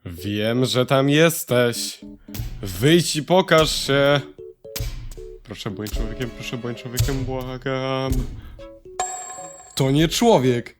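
A performer voicing a frightened, pleading survivor in a halting, dramatic cartoon horror dub. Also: mid-range voice, music in the background, close to an everyday voice. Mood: wary.

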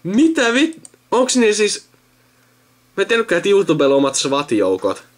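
A man's voice: high-pitched, wildly swooping pitch. A young Finnish man in his late teens chatting casually and reading the screen aloud in mumbled asides. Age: late teens, young adult. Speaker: video maker narrating an account sign-up. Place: indoors at a computer. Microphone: handheld camera filming a monitor.

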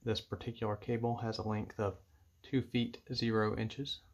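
A man giving measured, matter-of-fact step-by-step instructions as he demonstrates an equipment setup indoors at a table. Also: lowish voice, flat delivery. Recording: clear background noise with clicks.

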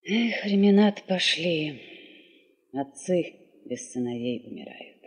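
A woman's voice: on the low side, expressive, slow, slightly rough, full character voice. A woman playing a pious old village aunt, folksy and reproachful, in a 1970s Soviet radio play. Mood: plaintive, reproachful. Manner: folksy, lamenting.

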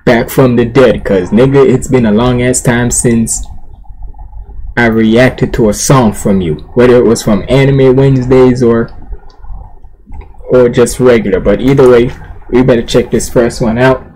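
A young man talks at a middling pitch in a loose, rambling, slangy chat, restarting his sentences as he goes. He records in a small bedroom on a webcam mic, with a faint background hiss. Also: fairly even intonation, a little slow, darkish tone, low-quality recording. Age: young adult. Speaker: reaction video host. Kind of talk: casual reaction commentary.

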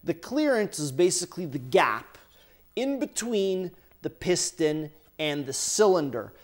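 A man's voice: a little high, big pitch swings, a little slow. Casual man explaining at a workbench. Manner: casual, explanatory, talks while handling parts. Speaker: workshop host. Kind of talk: hands-on how-to explanation. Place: indoor workshop bench, overhead camera.

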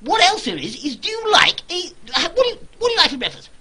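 A man's voice: very high-pitched, huge pitch swings, quick pace, pushed and bright. A man in an exaggerated posh English accent, bossing his partner through comic aristocratic banter while playing a game. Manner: boisterous mock-aristocratic banter, bossy instructions.

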